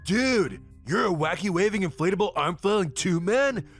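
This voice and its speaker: A man's voice: high-pitched, lively intonation, moderate pace, faint music behind. A man playing a laid-back, goofy stoner crewmate who talks in surfer slang in a cartoon. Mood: mellow amazement. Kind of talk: animated web cartoon acting.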